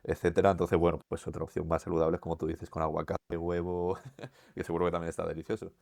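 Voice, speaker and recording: A man with an accent from Spain, co-hosting a podcast and asking questions in a measured, hesitant way. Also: sits low, completely flat delivery, gravelly, a little mellow, no room sound, studio quality. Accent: Spain Spanish. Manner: measured, hesitant, lots of "eh" fillers. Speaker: co-host. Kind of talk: casual podcast conversation.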